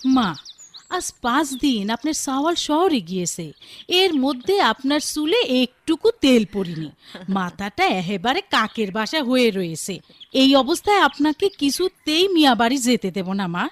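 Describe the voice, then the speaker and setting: An actress playing a devoted, bossy young village wife with a rural Bangladeshi accent in a Bengali radio drama. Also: female voice, high-pitched, very animated, normal speed, no room sound. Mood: bossy, caring. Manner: affectionate scolding in rustic dialect.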